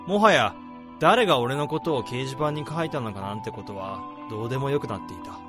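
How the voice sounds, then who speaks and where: A man's voice: mid-range voice, animated, a little fast, music in the background, natural voice. A man playing a blunt, hot-tempered AI developer in a radio drama, with dramatic, emphatic delivery. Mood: reflective. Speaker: lead character and narrator.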